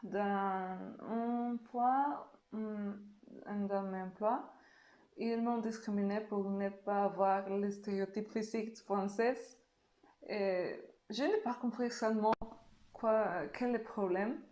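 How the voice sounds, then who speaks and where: A woman from Mexico, a native Spanish speaker with a Mexican Spanish accent, answering interview questions slowly and carefully. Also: medium pitch, steady tone, warm tone, echoey room. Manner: slow, careful speech with pauses.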